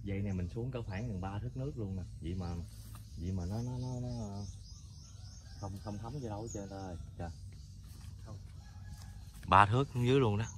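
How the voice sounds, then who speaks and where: Man, Southern Vietnamese accent, fishing vlog, excited repeated calls, outdoor pond, birdsong and country noise behind. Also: on the low side, brisk, pauses often. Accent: Southern Vietnamese (Mekong Delta). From Mekong Delta, Vietnam. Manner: excited, repeating words while reeling in. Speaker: fishing vlogger. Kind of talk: live fishing commentary. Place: outdoors by a muddy pond in rural greenery.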